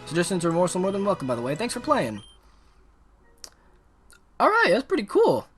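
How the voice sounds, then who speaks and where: A man live-streaming a game, giving excitable, casual, slangy commentary and reading on-screen text aloud. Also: high-pitched, fairly quick, soft background music.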